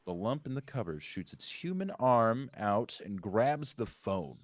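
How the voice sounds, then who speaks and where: Male table-read narrator, deep voice, dry sound, reads scene directions with occasional slips. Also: big pitch swings, measured pace, no echo. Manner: stumbles and corrects himself while reading. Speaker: narrator reading stage directions.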